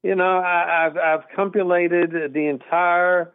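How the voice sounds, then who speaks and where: A middle-aged man from Texas calls in on a thin, tinny phone line. His voice sits a little high, and the sound is close and dry. He talks earnestly and emphatically, rambling from point to point. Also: level tone, slow pace, clear, resonant tone, dry recording. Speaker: caller. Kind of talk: call-in radio conversation. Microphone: telephone.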